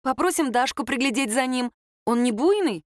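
A woman reader voicing a flustered, feisty young woman in audiobook dialogue, emotional and excitable. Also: high voice, moderate pace, dry recording, clean studio sound, pitched above the performer's own voice. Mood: eager, nervous. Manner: excitable, exclaiming.

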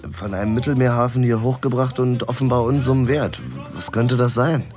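An actor playing a weary, dogged investigator who talks in a dry, blunt, laconic way in a German radio drama. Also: male voice, medium pitch, fairly even intonation, brisk, a little mellow, lightly acted. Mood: weary, determined. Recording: music behind the voice. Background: music.

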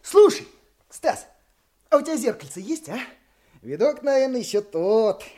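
High-voiced, easygoing junior detective. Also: male voice, very animated, moderate pace, darkish tone, exaggerated voice. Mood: sleepy, playful. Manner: colloquial, playful, drawling. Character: easygoing junior male police detective, jokey subordinate. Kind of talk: Russian radio drama acting.

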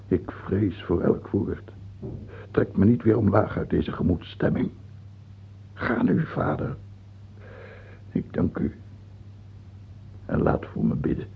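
A man's voice: low-pitched, animated, takes it slowly, vocal fry, long pauses, full character voice. An actor in a radio play playing a dying old king, theatrical, imperious and afraid, swinging from pleading to commanding. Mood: subdued, doubting. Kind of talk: Dutch radio play acting. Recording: tinny, band-limited old radio recording, faint hiss.

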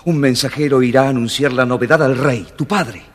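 A man's voice: mid-range voice, brisk, close to an everyday voice. A man playing a polite, brave, lovestruck young hero in a children's audio storybook. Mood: happy, assured.

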